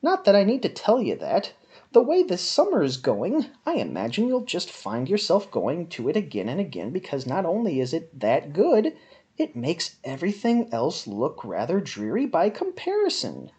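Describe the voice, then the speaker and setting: A woman performs a written piece as an overblown dramatic reading. Her pitch swings hugely from phrase to phrase, and she stretches key words for theatrical emphasis. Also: wildly swooping pitch, warm tone. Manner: melodramatic, overwrought, with drawn-out emphatic phrases. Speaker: reader of a written film review.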